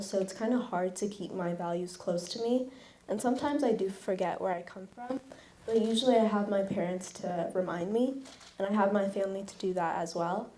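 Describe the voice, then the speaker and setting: A young woman speaking as a student panelist in an earnest, steady, reflective way. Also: medium pitch. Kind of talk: panel discussion. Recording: echoey conference room.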